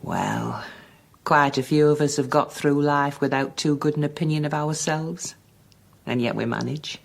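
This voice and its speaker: An actress playing a kindly, resolute older woman in radio drama, measured and reassuring, with a gravelly voice. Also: sits low, full character voice. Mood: earnest, encouraging. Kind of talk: BBC radio drama acting.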